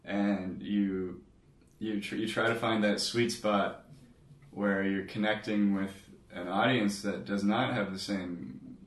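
Easygoing Canadian guy, very low voice, a little distant from the mic. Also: fairly even intonation, slow pace, echoey room. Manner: easygoing, laughs readily, hedging with "I mean". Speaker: guest musician. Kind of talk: casual chat.

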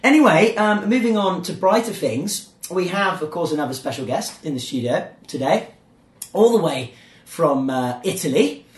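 A man hosting a podcast in a casual, joking way, his voice croaky and hoarse. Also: fairly high pitch, lively intonation, normal speed, reverberant. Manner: chatty, joking, with filler words. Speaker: podcast host.

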